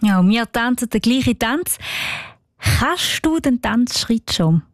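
A woman's voice: on the high side, lively intonation, measured pace, no room sound, clean studio sound. A woman with a Swiss German accent presenting for children, chatty and enthusiastic, speaking directly to her young listeners. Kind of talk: chatty scripted presenting for kids.

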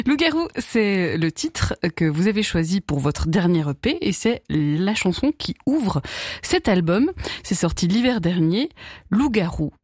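A woman's voice: on the low side, sing-song, measured pace, darkish tone, husky, no echo, studio quality. A woman hosting a radio interview, asking light, playful questions and laughing easily. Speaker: radio host. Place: radio studio.

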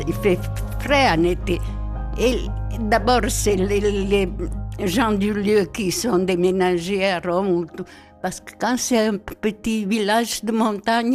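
A woman from central Italy, older, reminiscing in an interview in a halting, reflective way that repeats words. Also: mid-range voice. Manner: reflective, halting, repeats words as she tells her stories. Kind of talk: reminiscing storytelling. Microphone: handheld mic held by the interviewer.

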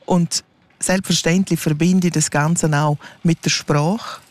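A woman's voice: low voice, steady tone, unhurried, a little mellow. Woman explaining her valley. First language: Romansh. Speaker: guest, regional radio editor. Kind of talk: casual interview.

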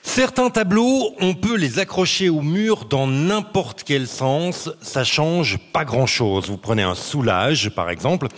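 A man joking deadpan as a panelist on a comedy game show. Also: a little high, slow pace, few pauses. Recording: reverberant hall.

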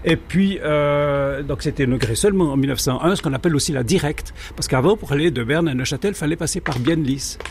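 A man's voice: fairly high pitch, fast talker, hardly any pauses, a little hiss or hum. Digressive Swiss French man, faint ambience. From French-speaking Switzerland, Jura arc. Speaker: guest, amateur railway historian. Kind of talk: conversational historical explanation.

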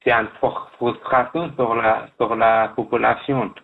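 A man from Afghanistan, speaking as a guest in a radio interview, halting, with many restarts. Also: mid-range voice, little pitch movement, gravelly, phone-quality sound. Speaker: guest job seeker.

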